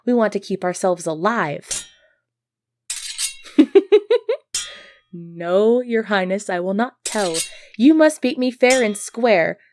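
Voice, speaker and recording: A woman's voice: mid-range voice, expressive, takes it slowly, pauses often, a little mellow, a little hiss or hum. A woman playing an earnest, easily flustered medieval knight devoted to her prince, speaking politely and formally in an ASMR roleplay. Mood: playful, confident. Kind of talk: ASMR roleplay acting, formal old-fashioned speech.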